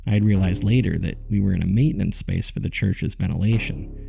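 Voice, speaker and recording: An actor playing a haunted man who recounts a terrifying ordeal in grave, deliberate, gravelly first-person narration for a horror audio drama. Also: low voice. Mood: grave, uneasy.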